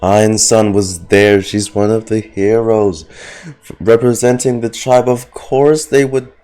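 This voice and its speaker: A man's voice: fairly low pitch, fairly even intonation, slow, mellow. A man giving casual, sarcastic and sweary reaction commentary as he streams a video game. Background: game music.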